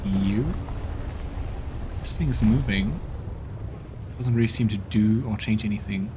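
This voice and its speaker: A man's voice: lowish voice, measured pace, background noise. Casual man commenting on a video game. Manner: relaxed, thinking aloud, wry. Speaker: let's play host. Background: game music.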